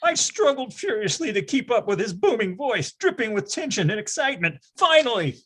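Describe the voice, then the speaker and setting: A performer playing an excitable, grandiose narrator recalling a nine-year-old's boyhood in a theatrical readers theatre style. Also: mid-range voice, a little fast, husky. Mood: intense.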